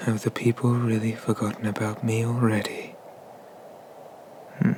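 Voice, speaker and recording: A man voicing an ancient, haughty and menacing dragon god of the sea in a breathy, pompous whisper for an ASMR roleplay. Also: on the low side, completely flat delivery, background noise, close to an everyday voice. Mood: brooding, imperious. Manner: slow, pompous, condescending, with drawn-out pauses.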